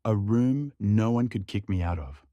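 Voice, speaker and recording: A young man narrating a drama in the first person, in a quiet, reflective way. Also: on the low side, normal speed, no room sound, muffled recording.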